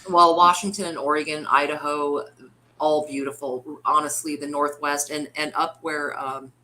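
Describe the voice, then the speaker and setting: Male, bright forceful tone, informal and slangy casual chat, faint background noise. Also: a little high, level tone, normal speed, poor audio.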